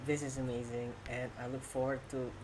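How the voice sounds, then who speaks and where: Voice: medium pitch, level tone, brisk, a little mellow, a little hiss or hum, rough recording. An interview host talking in a casual, conversational way.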